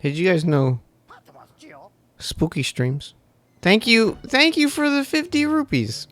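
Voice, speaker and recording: A man's voice: high voice, huge pitch swings, slow, fairly bright tone. Playful male streamer in his thirties. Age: 30s. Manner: playful, joking, chatty with chat. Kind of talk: casual live-stream commentary over gameplay.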